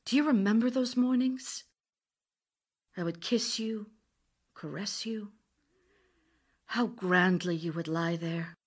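An actress playing a grieving, longing widow in an audio drama, reading intimate letters in a tender, wistful, expressive way. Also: female voice, mid-range voice, breathy edge. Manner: tender, wistful, emotionally expressive, pausing delivery.

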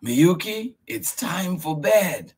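A man voicing a patient, gentle old grandfather who keeps coaxing a child to bed. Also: high voice, very animated, takes it slowly, breathy edge, voice pushed up, heavily acted. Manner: patient, repeating himself gently.

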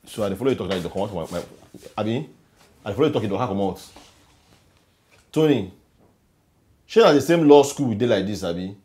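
A man's voice: lowish voice, rapid-fire, a little mellow, natural voice. A man with a Nigerian Pidgin accent playing a broke young man who pleads and grieves over being let down. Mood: complaining. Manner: pleading, emotional.

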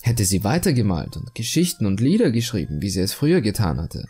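A man voicing a self-satisfied, calmly cruel murderer obsessed with beauty, in a measured, confiding horror monologue. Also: on the low side, slightly rough, faint music behind. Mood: coolly self-righteous. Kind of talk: German creepypasta horror monologue, dramatic reading.

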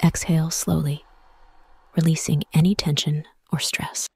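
A woman softly guiding a meditation in a whispery, husky voice, soothing and measured, with pauses between phrases. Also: sits low, level tone, no room sound. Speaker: meditation guide. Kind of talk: guided meditation, soft scripted narration.

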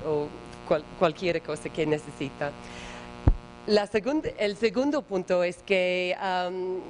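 A woman lecturing in a big auditorium in slow, halting second-language Spanish, searching for words. Her voice sits a little low, and a faint mains hum runs under the recording. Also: poor audio. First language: English. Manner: hesitant, halting non-native Spanish with many fillers. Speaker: guest lecturer. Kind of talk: academic conference talk. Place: large auditorium. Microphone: panel table microphone, far camera.

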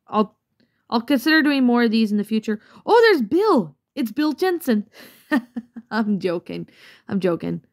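A woman's voice: a little high, big pitch swings, a little slow, darkish tone. A woman casually commenting on her own gameplay, chatty and rambling with many fillers, slightly congested from a cold. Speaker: let's play gamer.

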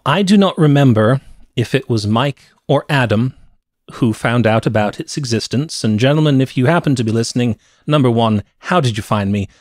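A middle-aged man co-hosting a podcast, telling stories about movies in a casual, deliberate way. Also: medium pitch, dry recording, clean studio sound. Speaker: podcast co-host. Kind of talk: casual movie review chat. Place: cluttered home room with shelves. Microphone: close podcast mic with headset.

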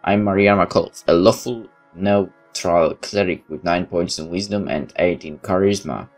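A man's voice: low-pitched, a little slow. A man playing a game on camera-free commentary, reading its narration aloud and adding remarks of his own. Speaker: let's player. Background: game music.

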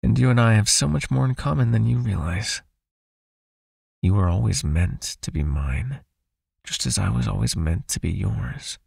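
Male vampire captor, acted by a man for ASMR roleplay: very husky, unhurried, close dry sound, possessive yet soothing, coaxing his knight into obedience. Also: expressive, no echo, full character voice. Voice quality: husky, breathy, low, often near a whisper. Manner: slow, coaxing, gently commanding. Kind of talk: intimate ASMR hypnosis roleplay.

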